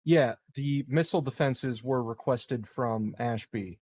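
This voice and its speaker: A man with halting, deliberate phrasing, talking through his moves as a tabletop game player. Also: medium pitch, darkish tone. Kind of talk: casual in-game discussion.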